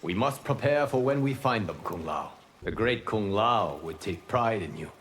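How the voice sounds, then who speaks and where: A man playing a calm, wise godlike mentor lord who speaks in a measured, formal way in a fighting game. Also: fairly low pitch. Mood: solemn. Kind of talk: video game character banter.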